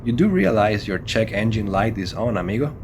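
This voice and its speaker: A man's voice: lowish voice, very animated, fairly quick, slight background noise. A man playing a serious, wary Spanish-speaking monster hunter in an audio drama, with terse and formal phrasing. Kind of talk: horror audio drama acting.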